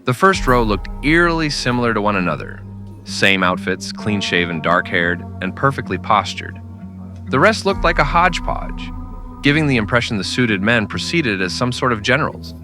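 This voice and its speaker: A man narrating a scripted western in old-time radio style, with dramatic, deliberate storytelling. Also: on the low side, sing-song, over music.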